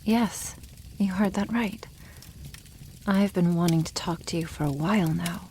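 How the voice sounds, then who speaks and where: A woman voicing a haughty, possessive female dragon in an ASMR roleplay, teasing and condescending, often dropping toward a breathy whisper. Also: lowish voice, measured pace, darkish tone, slight background noise. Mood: calm, teasing.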